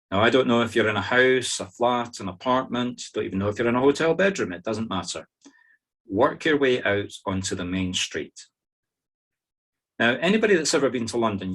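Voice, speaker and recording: A man's voice: lowish voice, a bit bright. A middle-aged man with a Scottish accent leads a guided meditation, giving informal step-by-step instructions with wry asides. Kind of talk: spoken guided meditation to a group.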